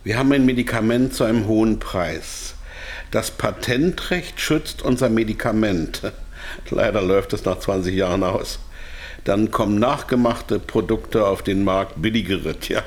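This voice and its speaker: A man's voice: lowish voice, normal speed, lightly acted. A man acting in a German radio drama as an evasive but candid company insider, explaining things in a hedging, hesitant way. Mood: explanatory, hedging.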